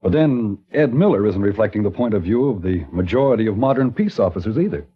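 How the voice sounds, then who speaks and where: A measured, kindly minister. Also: male voice, lowish voice, expressive, breathy edge. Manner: measured, reflective. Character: thoughtful small-town minister, measured and kindly. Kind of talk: 1950s radio acting. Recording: dull lo-fi old radio broadcast.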